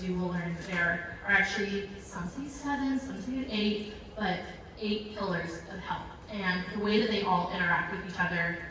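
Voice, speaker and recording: A woman delivers a panel introduction with rambling excitement, her voice bright and forward. She is picked up from far across a large hall with long, heavy reverb and a faint hiss. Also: medium pitch. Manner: rambling and excited, many fillers and self-corrections. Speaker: nonprofit founder, panel introducer. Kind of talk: live panel introduction speech. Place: large event hall with audience. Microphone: handheld mic, heard from a distance. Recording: echoey hall recorded from far off.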